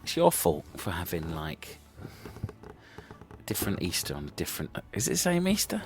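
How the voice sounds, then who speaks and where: Very deep, muttering, muddled man. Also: huge pitch swings, moderate pace. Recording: music clearly behind the voice.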